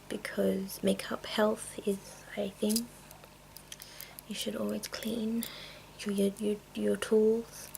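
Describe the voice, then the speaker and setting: A woman hosting an ASMR video in a breathy whisper, chatting casually and playfully as she shows off her things. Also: mid-range voice, background noise. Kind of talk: whispered ASMR, casual show-and-tell of makeup tools.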